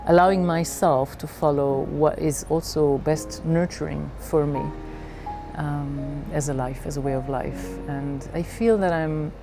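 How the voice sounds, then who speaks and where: A middle-aged woman from Belgium telling her personal story in a reflective way, haltingly searching for her words. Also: sits low, steady tone, music in the background. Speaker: leadership coach.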